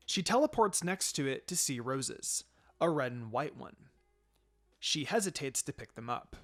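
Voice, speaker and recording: A man's voice: fairly high pitch, fairly bright tone. A man reading fan fiction aloud as a dramatic story narrator.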